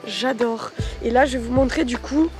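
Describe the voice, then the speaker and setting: High-voiced woman with a head cold. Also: moderate pace, a little mellow, faint music behind. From southwest France. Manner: chatty and upbeat. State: congested with a cold. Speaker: travel vlogger. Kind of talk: casual vlog talk to camera. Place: outdoors in villages.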